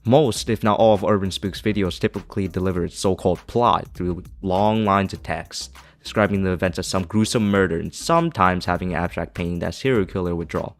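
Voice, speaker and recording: Low-voiced man narrating a video essay. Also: a little fast, hardly any pauses, soft background music. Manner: opinionated, critical.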